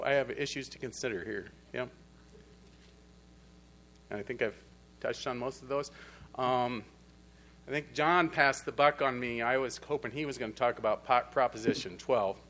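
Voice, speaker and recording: A man's voice: medium pitch, fairly bright tone. A man speaking at a conference panel, presenting and urging his listeners in a plain-spoken, earnest way. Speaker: conference presenter on the pork industry. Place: conference hall.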